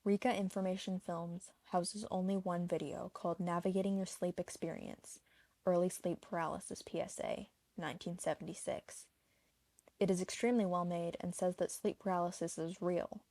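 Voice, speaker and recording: A woman with a fairly low voice and a clear creak of vocal fry narrates a scripted video essay. The recording is close and dry, with no room sound. She presents each item as an explainer and mixes in personal opinion. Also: fairly even intonation, moderate pace, warm tone, dry recording.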